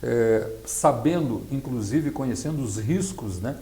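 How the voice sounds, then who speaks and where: A Brazilian man giving explanatory interview answers as a specialist, in a measured, didactic way. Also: medium pitch, lively intonation, dark tone. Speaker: accountant and project specialist being interviewed. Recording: echoey, roomy sound.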